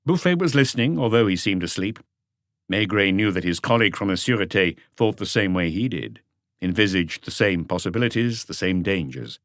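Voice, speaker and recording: Male narrator for crime audiobook: low register, measured storytelling, close dry studio-like sound. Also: lively intonation, fairly bright tone, no echo.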